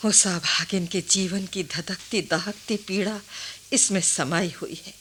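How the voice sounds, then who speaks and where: A woman playing a wise, blunt, compassionate old village grandmother in a radio play, with a husky voice. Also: on the low side, fairly bright tone, a little hiss or hum. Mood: grave, indignant. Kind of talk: Hindi radio play acting.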